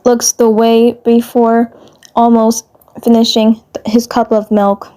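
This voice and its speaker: Slow, halting woman narrator, higher voice. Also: flat delivery, warm tone. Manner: halting, stumbles and rereads words. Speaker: fan fiction narrator. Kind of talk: narrating a scripted story aloud.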